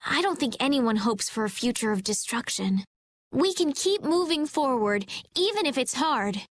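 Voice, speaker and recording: A woman playing an earnest, hopeful, gentle young heroine in an English anime game dub. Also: high voice, very animated, moderate pace. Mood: resolute.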